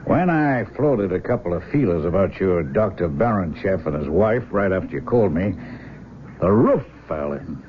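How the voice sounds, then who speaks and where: An actor in a 1970s radio drama playing a breezy middle-aged army colonel, slangy, chummy and confident. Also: male voice, low-pitched, animated, normal speed. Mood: chummy, guarded. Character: brisk, breezy army intelligence colonel, old buddy. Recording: dull, noisy old radio broadcast.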